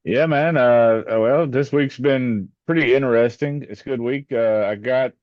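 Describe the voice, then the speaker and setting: A man chatting in a relaxed, upbeat way on a video support call. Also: medium pitch, moderate pace, no room sound. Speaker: support group member. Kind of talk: casual group chat. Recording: dull lo-fi audio.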